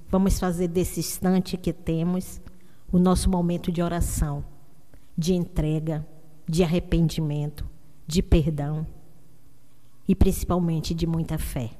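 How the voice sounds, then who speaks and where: A woman's voice: low-pitched, warm tone. Solemn woman leading radio prayers. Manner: slow, solemn, intoning. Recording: long reverb.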